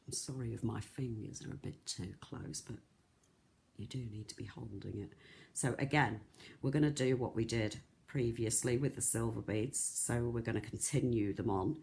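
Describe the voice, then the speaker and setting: Craft host, croaky and soft, chatty live demo with frequent fillers and restarts. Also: mid-range voice, moderate pace, a little hiss or hum. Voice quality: soft, not loud. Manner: chatty, rambling, lots of ums and restarts. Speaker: craft shop tutor. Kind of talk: live-streamed beading tutorial.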